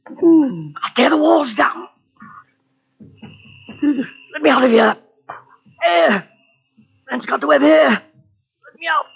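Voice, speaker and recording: A woman's voice: sits high, gravelly, exaggerated voice. A woman playing a desperate trapped victim suffocating without air, gasping and pleading in broken fragments, in old radio horror style. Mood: fading agony. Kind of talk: 1940s radio horror acting. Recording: muffled, band-limited old radio tape.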